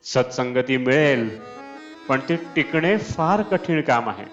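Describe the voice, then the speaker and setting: A man reads a devotional discourse slowly and deliberately, at a medium pitch. The recording is dull and lo-fi, with Indian classical instrumental music playing behind his voice. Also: expressive, fairly bright tone. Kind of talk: scripted devotional discourse reading.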